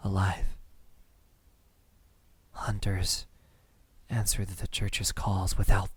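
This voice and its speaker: A lonely, ancient male vampire played by a man speaks in a low, unhurried voice, tender and halting. He often slips toward a whisper, like a gentle suitor in an ASMR roleplay. The recording is close and dry. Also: level tone, slightly rough, dry recording, heavily acted. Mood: grave, persuasive. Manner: soft-spoken, halting, tender, slipping into whispers.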